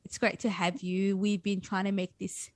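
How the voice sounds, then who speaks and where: A woman's voice: medium pitch, level tone, normal speed, dry recording. A woman interviewer asking guests questions in a conversational radio interview.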